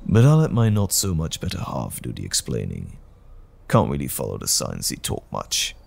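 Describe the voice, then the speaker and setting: Casting: smug monstrous villain, extremely low growling voice, sleazy and taunting, wildly swooping delivery, for audio drama. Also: male voice, wildly swooping pitch, normal speed, a little mellow, long reverb tail, exaggerated voice. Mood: mocking. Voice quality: gravelly, husky growl. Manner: taunting, smug, drawling insinuation. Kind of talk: audio roleplay drama acting.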